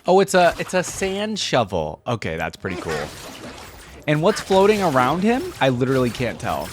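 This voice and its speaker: A man's voice: mid-range voice, wildly swooping pitch, moderate pace, noisy background. A young man giving casual running commentary as a reaction host, thinking aloud and breaking off into exclamations. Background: music and game audio.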